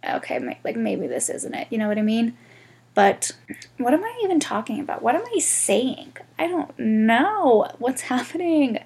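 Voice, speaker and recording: A woman's voice: fairly high pitch, creaky, a little mellow, slightly rough. Congested woman, mid-twenties, casual vlogger, swears, rambles. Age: 20s. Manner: candid, swears freely, rambling. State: congested, stuffy nose. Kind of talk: casual chat to camera.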